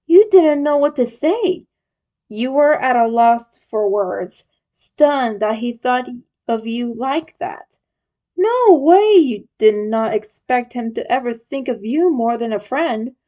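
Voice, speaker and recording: A woman narrates a piece of fan fiction in a high voice. She takes her time over the scripted lines, reading at a slow, measured pace. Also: animated, warm tone, highs cut off. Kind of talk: scripted story narration in second person.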